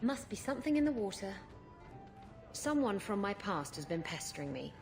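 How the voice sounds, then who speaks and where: A woman's voice: mid-range voice, very animated, moderate pace, faint music behind. An actress playing a flirtatious, dangerous female pirate captain in a video game, with a teasing, sultry, mocking manner. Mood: playful, flirtatious. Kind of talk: dramatic video game acting.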